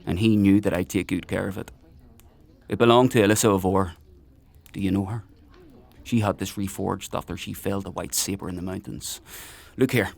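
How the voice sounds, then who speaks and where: An actor playing a menacing, paranoid military paragon in a dark fantasy audio drama, mock-friendly and needling in his drawn-out questions. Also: deep voice, vocal fry.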